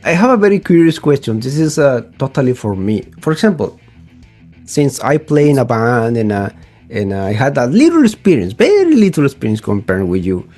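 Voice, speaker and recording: A man's voice: mid-range voice, very animated, fairly quick, a little mellow, faint music behind. A man interviewing a singer, speaking as a with enthusiastic, eager questions. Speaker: interviewer, show host. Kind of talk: casual interview conversation.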